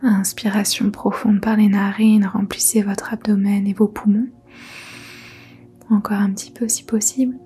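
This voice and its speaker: A woman leading a guided yoga nidra meditation in a soft, breathy near-whisper, soothing and gentle. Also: mid-range voice. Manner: soothing, gentle, unhurried instruction.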